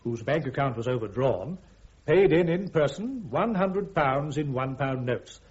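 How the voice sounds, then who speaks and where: An actor playing an army prosecutor in a radio drama, addressing a court-martial in a British accent with formal, deliberate, precise oratory. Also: male voice, mid-range voice, expressive, lightly acted. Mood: formal, measured. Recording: dull, band-limited radio drama recording.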